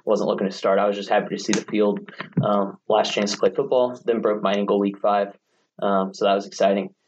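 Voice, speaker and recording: A man in his late twenties with a low voice recounts his path into fitness, rambling easily with many "ums." The recording is dull and carries a faint hiss. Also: fairly quick. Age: late 20s. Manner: relaxed, filler-heavy storytelling. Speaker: guest, gym owner. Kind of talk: casual interview storytelling. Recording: dull lo-fi sound with faint noise.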